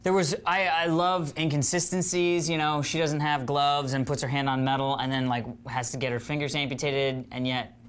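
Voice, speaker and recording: A man's voice: a little high, level tone, normal speed, fairly bright tone, clear, resonant tone. A man co-hosting a film review show in casual talk, blunt and mocking in how he puts things.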